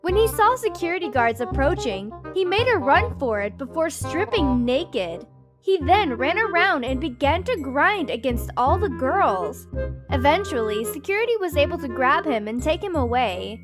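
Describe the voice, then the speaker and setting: A woman narrating short anecdotes in an animated storytime style. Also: high voice, moderate pace, over music.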